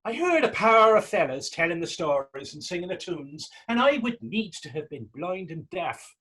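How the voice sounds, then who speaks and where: A man playing Tommy, a talkative, gentle and wry Irish former fisherman, in staged readers theater dialogue with a folksy, halting storytelling lilt. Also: high-pitched, big pitch swings, slightly rough, exaggerated voice. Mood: wistful, chatty.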